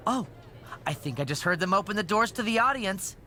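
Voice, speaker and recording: An actor playing a smug, spiteful wrestling boss in a video game, taunting with mock sympathy. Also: male voice, high voice, expressive, moderate pace, pushed and bright, slight background noise. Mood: gloating. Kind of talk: video game cutscene acting.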